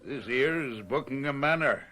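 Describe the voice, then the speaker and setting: An actor playing a big, suspicious peasant guard in a radio drama. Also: male voice, medium pitch, animated, moderate pace, pushed and bright, a little hiss or hum. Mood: gruff, suspicious. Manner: gruff, challenging, suspicious. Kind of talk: old-time radio drama acting.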